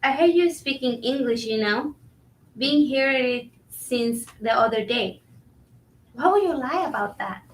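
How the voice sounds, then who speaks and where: Actress as overworked young female kitchen worker, frank and high-pitched, stage reading, distant mic. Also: expressive, a little slow, a little hiss or hum. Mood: exasperated, teasing. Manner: bilingual, switches between Spanish and English. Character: overworked, frank, warm-hearted young Colombian-American restaurant worker. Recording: roomy, distant microphone.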